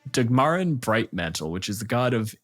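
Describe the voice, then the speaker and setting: Man, game master, medium pitch, tabletop narration, clear music bed behind. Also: big pitch swings, normal speed, fairly bright tone.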